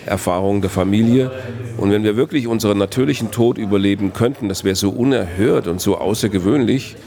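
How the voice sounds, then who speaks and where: A man, a philosophy professor answering interview questions in a measured, reflective way. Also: on the low side, gravelly, warm tone. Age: 60s. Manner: measured, reflective, builds long reasoned sentences.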